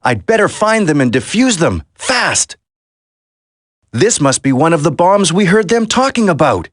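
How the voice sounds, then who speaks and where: Game hero: determined young agent, dramatic, lively intonation, unhurried delivery, close dry sound. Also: male voice, fairly high pitch, no room sound. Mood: focused, worried. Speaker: voice actor in a video game. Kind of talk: video game dialogue acting.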